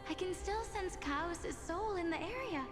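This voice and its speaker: A tiny, very high-voiced girl demigod, speaks through long reverb in a fantasy game. She is slow, polite and gently reassuring. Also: animated. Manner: polite, earnest, soothing. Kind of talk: dramatic fantasy game acting. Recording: echoing reverb, music behind.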